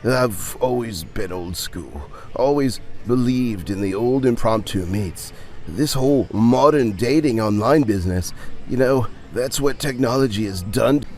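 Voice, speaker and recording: A man's voice: medium pitch, darkish tone, close to an everyday voice. A man voicing a lonely, self-blaming man at a bar, wistful and exasperated, in an audio story.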